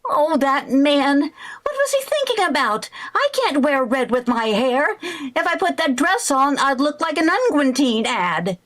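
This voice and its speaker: Lady doing a flustered 1940s radio sitcom housewife, really high voice, super animated and dramatic. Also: measured pace, exaggerated voice. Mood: dismayed. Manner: theatrical, exclaiming, expressive. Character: flustered, scheming, excitable housewife. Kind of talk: old-time radio comedy acting.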